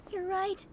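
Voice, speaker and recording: A woman's voice: very high voice, slight background noise, heavily acted. A woman playing a naive, trusting young nun in a video game, sweet and hesitant, stammering when flustered.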